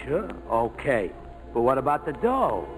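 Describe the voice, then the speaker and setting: An actor playing a rough, loyal American ex-airman in a 1940s radio drama, talking in slangy, streetwise fashion. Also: medium pitch, sing-song, unhurried, over music. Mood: worried. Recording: vintage 1940s radio broadcast.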